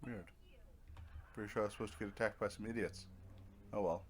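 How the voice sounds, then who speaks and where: Low-voiced man, casual gaming commentary, noisy recording. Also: fairly even intonation, fast talker. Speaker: gaming video host. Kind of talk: casual let's play commentary.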